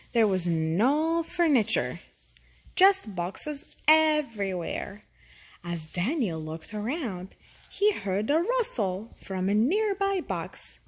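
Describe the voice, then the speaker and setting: A woman reading a children's story aloud in an expressive, deliberate storytelling manner. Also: medium pitch. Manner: deliberate, animated storytelling with character voices. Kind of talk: expressive read-aloud narration for young children.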